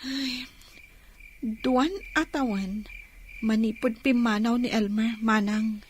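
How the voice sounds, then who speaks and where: A woman's voice: a little high, steady tone, takes it slowly, a little hiss or hum. A woman playing a coy, plaintive young single woman who pines for her boyfriend, in an Ilocano-accented Filipino comedy radio drama. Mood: wistful.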